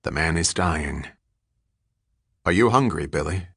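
Man playing a terse, low-voiced investigator. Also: lively intonation, a little slow, creaky, a bit bright, husky, dry recording, clean studio sound, exaggerated voice. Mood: calm, grave. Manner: short, level questions. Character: terse, watchful male investigator, calmly questioning.

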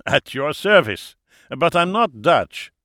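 Audiobook villain-host: hearty older German man, played by a male narrator, raised bright voice, oily courtesy. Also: a little high, very animated, slow, no echo, clean studio sound, voice pushed up, full character voice. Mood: gallant, jovial. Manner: hearty, effusive, falsely courteous. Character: big, jovial, unctuous older German expatriate man, theatrically gallant.

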